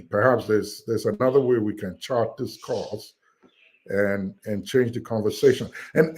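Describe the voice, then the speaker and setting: A man's voice: lowish voice, rough recording. A man from Nigeria with a Nigerian accent, talking through his views in a panel discussion with many fillers and restarts. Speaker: panel participant. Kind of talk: casual discussion. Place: United States.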